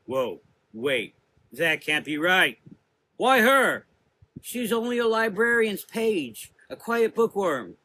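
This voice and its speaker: A man's voice: high voice, big pitch swings, takes it slowly, bright, forceful tone, a little hiss or hum, voice pushed up, heavily acted. A man voicing a loyal, blunt young woman warrior who talks earnestly and informally. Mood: disbelieving.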